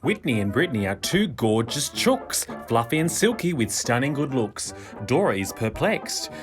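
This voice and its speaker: A man reading a children's picture book in verse, with expressive, dramatic, rhythmic narration. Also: medium pitch, normal speed, over loud music.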